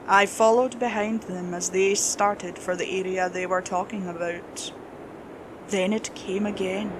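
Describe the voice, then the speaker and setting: A woman's voice: mid-range voice, background noise. A woman reading a scripted horror story as its narrator.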